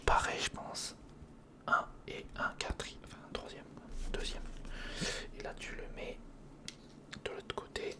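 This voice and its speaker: A man whispering in a breathy, crackly voice, casually murmuring a step-by-step ASMR commentary as he thinks aloud. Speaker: ASMR video creator.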